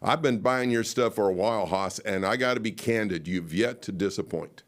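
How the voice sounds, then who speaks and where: A man hosting a car culture interview, excitable and folksy, his voice a little hoarse. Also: on the low side, normal speed. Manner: excitable, folksy, frequent laughs. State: slightly hoarse. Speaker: podcast host. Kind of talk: casual enthusiastic interview.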